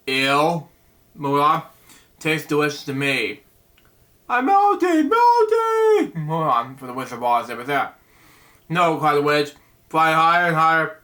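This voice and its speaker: A man reads a children's picture book aloud at a slow, unhurried pace. His voice sits a little high and sounds bright and forward. He often stumbles, restarts and breaks off into chatty asides to the listener. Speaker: storyteller reading a picture book. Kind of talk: reading a bedtime story aloud with rambling asides.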